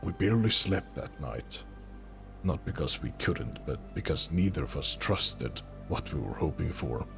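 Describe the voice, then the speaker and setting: Very deep, gravelly male narrator. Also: lively intonation. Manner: slow, deliberate, brooding. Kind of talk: dramatic fantasy audiobook narration. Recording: music under the narration. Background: music.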